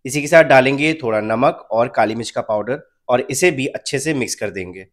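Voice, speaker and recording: A young man calmly narrating a home cooking recipe step by step as the channel's host. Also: medium pitch, brisk. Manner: calm, step-by-step instructional.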